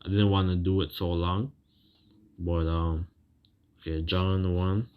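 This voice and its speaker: A man preaching informally as he talks and reads scripture, rambling and halting, repeating phrases and checking in with casual tags, on a muffled recording. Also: deep voice, fairly even intonation, background noise. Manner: colloquial, seeks agreement with tags like "right". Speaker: religious preacher. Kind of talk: informal sermon with scripture reading.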